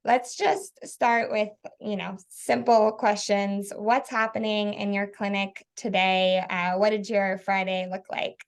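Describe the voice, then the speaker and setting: Female interview host, conversational delivery, mid-range pitch with noticeable vocal fry. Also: flat delivery, unhurried, hardly any pauses. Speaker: interview host, company representative.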